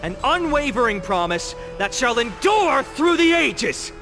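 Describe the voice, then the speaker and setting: A young male guardian orator for a video game. His voice is high and swings widely as he delivers solemn, eloquent rhetoric with theatrical weight. Music plays softly underneath. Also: big pitch swings, a little slow, exaggerated voice. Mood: solemn vow. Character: impassioned young male orator, guardian demigod of a holy city. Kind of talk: dramatic theatrical oration in video game voice acting.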